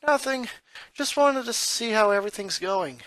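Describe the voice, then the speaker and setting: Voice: medium pitch, animated, normal speed, a bit bright, no room sound, voice pushed up, natural voice. A story reader voicing an anxious, scheming boy who speaks hesitantly and carefully. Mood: cautious. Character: anxious, scheming middle-child boy, tentative and sheepish.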